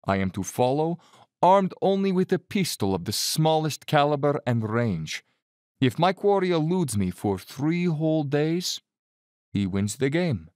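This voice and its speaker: An actor playing a suave, cold-blooded Cossack general who loves the hunt, speaking with urbane condescension in an audio drama. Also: male voice, fairly high pitch, sing-song, darkish tone, no echo, studio quality. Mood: blandly relishing. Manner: urbane, bland, condescending, theatrically unhurried. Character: suave, cold-blooded aristocratic Cossack general, fanatical big-game hunter.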